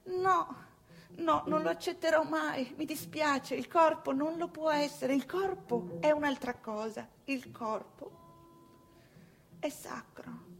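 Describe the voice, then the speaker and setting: An actress playing a prim, self-righteous middle-class wife and mother in a satirical stage monologue, with affected, emphatic delivery and drawn-out words. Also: high-pitched, gravelly. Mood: pious, grave.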